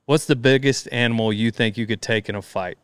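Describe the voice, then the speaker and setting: A polite young man hosts a podcast, asking quick questions and answering with "yes, sir." His voice is smooth and clear, at a middle pitch, recorded close and dry. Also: dry recording. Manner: polite, drawling fillers, says "yes, sir". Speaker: podcast host. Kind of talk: casual interview chat.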